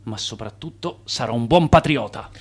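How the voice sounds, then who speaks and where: An actor playing a brash, persuasive swindler turned financier in a radio drama, with a theatrical, grandiose manner. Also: male voice, medium pitch, lively intonation, moderate pace, slightly rough. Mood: confident.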